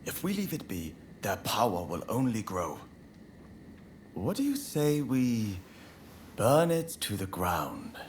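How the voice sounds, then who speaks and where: An actor playing a fervent young samurai rebel haunted by grief, earnest and intense, in a video game cutscene. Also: male voice, on the low side, big pitch swings, slow pace, slight background noise. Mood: conspiratorial, fervent.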